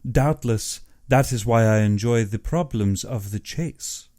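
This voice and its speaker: A man's voice: medium pitch, unhurried, mellow, close to an everyday voice. A man voicing an urbane, boastful, cold-blooded older general and hunter, pompous and precise in an audiobook. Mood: proud, self-assured. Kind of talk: audiobook character acting.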